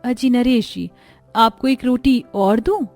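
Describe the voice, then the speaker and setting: A woman's voice: a little high, takes it slowly, a little mellow, no room sound, faint music behind. A woman voicing a poor village mother in a cartoon, sorrowful but resolute, earnest and tender. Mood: worried. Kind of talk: Hindi cartoon dialogue.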